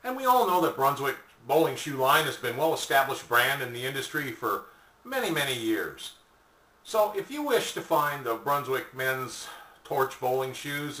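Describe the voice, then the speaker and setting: Bright-voiced older man pitching products, echoey. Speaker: product presenter for an online shop. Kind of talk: informal sales pitch to camera. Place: small indoor room at home or office, seated. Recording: echoey room, distant mic.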